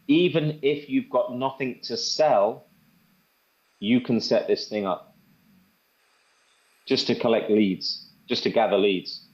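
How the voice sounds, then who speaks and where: A man giving an informal product demo and sales pitch, conversational and repeating phrases for emphasis. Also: slow pace, long pauses, darkish tone. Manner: conversational, repeats phrases for emphasis, acts out sample phone calls. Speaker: presenter demonstrating software to a group. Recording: dull, band-limited sound.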